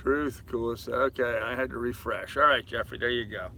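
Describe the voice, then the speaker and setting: Older man with a very bright, forceful voice. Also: mid-range voice, steady tone, normal speed, a little hiss or hum. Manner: rambling, addresses viewers familiarly as brother and man. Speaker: live-stream host. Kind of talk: casual live chat, reading and answering viewer comments. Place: aboard a boat at anchor.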